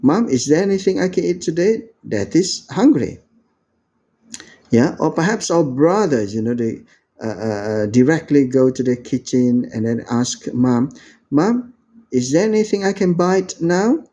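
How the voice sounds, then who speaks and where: A man's voice: on the high side, animated, unhurried, dark tone. A man from Indonesia giving an informal lecture, rambling with many fillers and "you know", and acting out quoted lines in a raised voice. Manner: acts out quoted speech in a raised voice.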